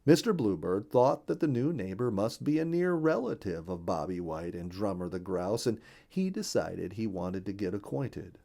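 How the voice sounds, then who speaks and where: A man reading a children's nature story aloud as an expressive, careful storyteller. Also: lowish voice, normal speed, creaky, hardly any pauses.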